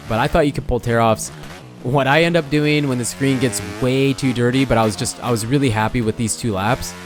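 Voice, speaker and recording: A young man with a hoarse, fading voice, chatting casually as he comments on his own gameplay, often stopping to correct himself. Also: medium pitch. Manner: rambling, self-correcting, chatty. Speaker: gaming channel host.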